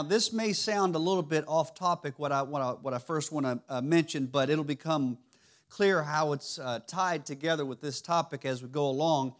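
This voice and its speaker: A man with a raspy voice giving a church teaching sermon, halting and restarting often. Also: on the high side. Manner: halting, many restarts and fillers. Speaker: lay preacher. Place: church sanctuary.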